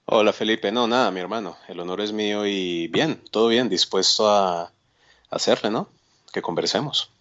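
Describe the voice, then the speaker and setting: A Colombian man talking casually as a guest, in a halting way with frequent tag questions. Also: fairly low pitch, a bit bright, lo-fi sound. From Cali, Colombia. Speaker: podcast guest, psychologist. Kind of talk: casual conversational self-introduction.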